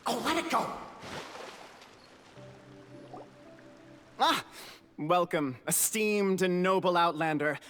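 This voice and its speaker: An actor playing a pompous, touchy clan storyteller in a fantasy game, with flowery delivery and hall reverb. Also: male voice, sits high, animated, unhurried, a bit bright, faint music behind. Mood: indignant, brisk. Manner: flowery, narrating.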